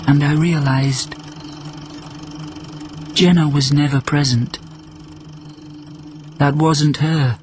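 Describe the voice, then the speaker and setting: An actor playing a lonely immortal prisoner on a space station, narrating reflectively in a measured radio drama monologue. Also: male voice, on the high side, slight background noise. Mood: wistful. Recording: loud music bed under the voice.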